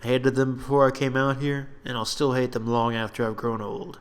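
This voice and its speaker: A man's voice: medium pitch, flat delivery, fairly quick, clear, resonant tone, lightly acted. A man voicing an irritable, serious loner ranger in a fantasy story, terse and commanding. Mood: griping. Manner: terse, grumbling, commanding when alarmed.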